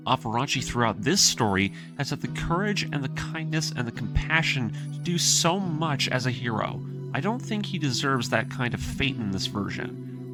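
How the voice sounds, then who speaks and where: Male narrator, mid-pitched voice, scripted video essay, earnest, opinionated, informal asides, clear background music. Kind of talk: scripted retelling and analysis narration. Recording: music behind the voice.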